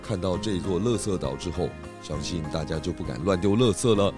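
A man playing a calm, righteous detective chief in a children's radio drama, speaking in a measured, explanatory way. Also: sits low, over music, natural voice. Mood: earnest advice. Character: calm, righteous male detective chief giving verdicts and advice.

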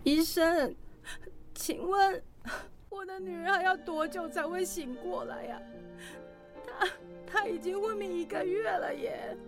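Lady doing a fretful middle aged mom, super high voice, audio drama with music under it. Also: measured pace. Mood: tearful, pleading. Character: middle-aged mother, nagging and anxious over her daughter. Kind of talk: radio drama acting.

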